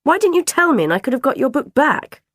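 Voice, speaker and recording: A woman's voice: very animated, quick pace, fairly bright tone, no echo, studio quality. A woman reading an audiobook, voicing a stern, self-righteous dinner lady who scolds children. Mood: scolding. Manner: shrill, bossy, self-righteous.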